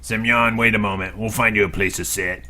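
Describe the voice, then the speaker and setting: A man's voice: fairly low pitch, fairly even intonation, brisk, bright, forceful tone. Bossy camp leader woman, as a man puts her on. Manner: commanding, scolding orders.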